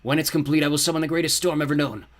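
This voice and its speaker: Man putting on a booming, imperious demon lord. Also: steady tone, very fast, close to an everyday voice. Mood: commanding. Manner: grandiose, imperious. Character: fiery male demon lord, commanding, menacing, gloating.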